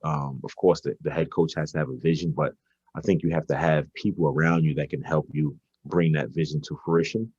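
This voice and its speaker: A man's voice: a bass voice, fairly even intonation, fairly quick, darkish tone, husky, dry recording, lo-fi sound. A man, a basketball coach answering interview questions conversationally, hesitating and repeating words as he thinks. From Brooklyn, New York, United States. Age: 40s.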